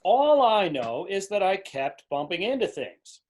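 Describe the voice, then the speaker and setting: A man's voice: sits high, big pitch swings, unhurried, a little mellow, heavily acted. A man playing a demanding, fretful king in a storybook readers theater, complaining and insistent. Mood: petulant. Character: petulant, demanding king, fretful and easily enraged.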